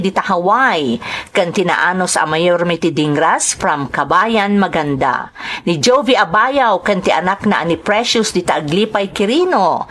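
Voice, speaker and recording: A woman's voice: medium pitch, fairly bright tone. A woman with an Ilocano Filipino accent, hosting and narrating in a scripted radio style as she reads out listener greetings and letters.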